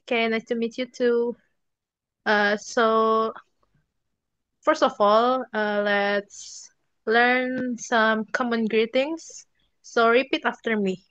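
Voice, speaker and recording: Slow, careful young woman teaching English. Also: fairly high pitch, steady tone, a bit bright, clear, resonant tone, no echo. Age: young adult. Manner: slow and deliberate, lifts voice for praise. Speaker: teacher in a role-play English class. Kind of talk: slow, clear classroom instruction. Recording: dull, dry and slightly muffled.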